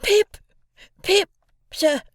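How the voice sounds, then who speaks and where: A man voicing a frightened small boy who pleads timidly and politely, in a very high falsetto. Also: extremely slow, a bit bright, no room sound, studio quality.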